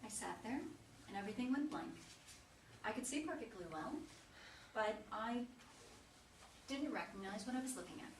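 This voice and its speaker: A woman's voice: a little high, animated, moderate pace, long pauses, recorded from a distance. A woman reading the part of an elegant, troubled mother in her sixties in a play, hesitant and uneasy. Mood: anxious, confiding. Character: elegant, troubled sixty-ish mother, a lawyer frightened by memory lapses. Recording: echoey room from afar, noisy.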